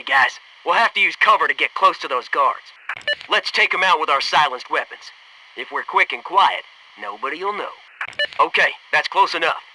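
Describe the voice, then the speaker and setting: An American actor playing a brash, upbeat, teasing soldier in a military video game, with punchy, cocky, informal delivery. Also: male voice, a little high, distant mic, noisy background.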